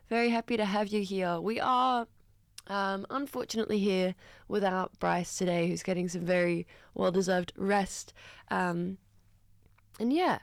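Gravelly-voiced Australian woman radio host. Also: medium pitch, no echo, studio quality. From Newcastle, Australia. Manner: chatty and upbeat, with frequent ums and asides. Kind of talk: casual radio chat between tracks. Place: radio studio. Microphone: studio microphone.

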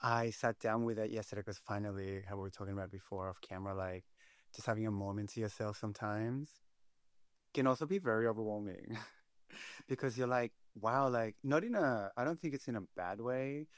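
Guest: young man from Chile, low-pitched, animated and gushy, dry close sound. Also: a little fast, clear, resonant tone, no room sound. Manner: animated, chatty, calls people honey and babe. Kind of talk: casual chat.

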